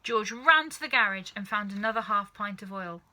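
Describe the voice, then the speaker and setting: Very bright-voiced woman reading a story. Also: medium pitch, animated, normal speed. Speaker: storybook reader. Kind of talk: expressive children's story narration.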